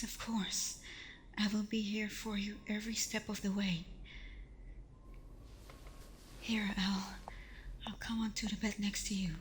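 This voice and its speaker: A woman playing a tender, protective vampire girlfriend in an intimate ASMR roleplay, speaking softly and breathily with a hesitant, reassuring manner. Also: mid-range voice, slight background noise, lightly acted. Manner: soft, breathy, hesitant, reassuring, often dropping toward a whisper. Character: tender, protective young female vampire girlfriend, secretive and self-doubting.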